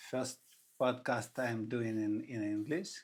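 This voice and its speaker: Man with halting, careful speech. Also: medium pitch, steady tone. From Russia. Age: middle-aged. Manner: halting, searching for words. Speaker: insurance agent guest. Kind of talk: podcast interview.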